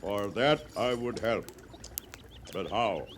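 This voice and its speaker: A man's voice: on the low side, slow. An actor playing a weary, proud Cheyenne band leader and bereaved father in a radio western, speaking solemnly in formal, simple phrases. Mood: weary, wary. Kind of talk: 1950s radio western acting. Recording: noisy vintage radio western recording.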